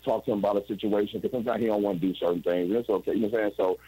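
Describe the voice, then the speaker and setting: A man's voice: on the low side, fairly even intonation, racing, dark tone, slightly rough, rough recording. A man in his forties telling his story as an interview guest over a video call, rambling and restarting often. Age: 40s. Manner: rambling, with frequent restarts and "you know what I'm saying".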